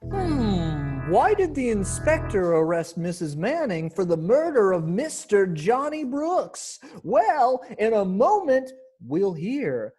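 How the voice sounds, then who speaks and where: Slow, dramatic woman announcer with lively pitch. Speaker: announcer, narrator of virtual event. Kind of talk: theatrical scripted radio-drama narration.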